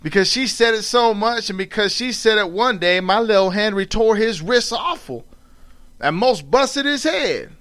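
A man voicing an elderly, proud and sorrowful formerly enslaved mother, telling her story in halting folksy dialect. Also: high voice, lively intonation, a bit bright, pitched above the performer's own voice. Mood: proud, riled. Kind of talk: dramatic read-aloud of a dialect tale.

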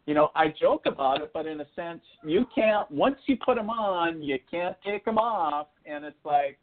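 A man's voice: a little high, animated, normal speed. An American man chatting casually as a guest, joking and rambling, often saying "you know". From northern Minnesota, United States. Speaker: guest psychic medium. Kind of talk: casual interview chat. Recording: phone-like thin audio.

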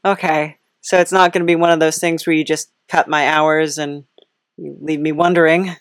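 A woman's voice: deep voice, flat delivery, moderate pace, no echo, clean studio sound. A woman hosting a casual comic podcast interview in a hesitant, awkwardly chirpy, giggly manner. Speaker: podcast host.

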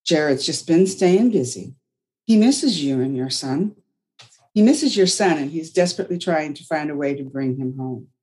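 A woman's voice: sits low, animated, normal speed, a little mellow. Woman doing a firm, motherly older woman. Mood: soothing.

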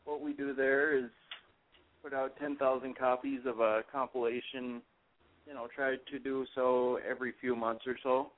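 Guest caller, man with Minnesota accent, mid-pitched, relaxed and halting, over a tinny phone line. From California. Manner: easygoing, hesitant, chuckles. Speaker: guest, music promoter. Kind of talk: phone-in radio interview.

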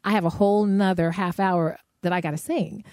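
Chatty woman singer, soft dry voice. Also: fairly low pitch, a little fast, smooth, no room sound. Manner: animated, chatty, many "you know" asides. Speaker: singer and podcast co-host. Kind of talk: casual conversation.

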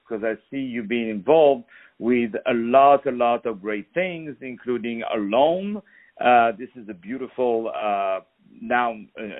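A man from France hosts a radio interview in a mid-pitched voice, heaping praise on his guest. The sound is thin and tinny, like a phone line. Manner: effusive, full of praise. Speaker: radio host.

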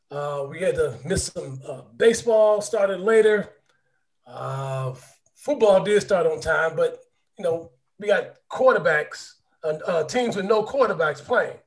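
High-voiced man making a podcast argument. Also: expressive. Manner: loose and joking, halting with fillers. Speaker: podcast co-host. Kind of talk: casual podcast chat.

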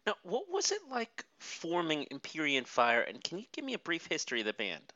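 An interviewer reading a formal introduction and asking questions, sometimes halting with uhs. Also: mid-range voice, dry recording. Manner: lively questions with some halting fillers. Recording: dull lo-fi dry recording.

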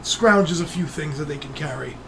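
Game master, relaxed narration, conversational, faint background hiss. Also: mid-range voice, normal speed, vocal fry.

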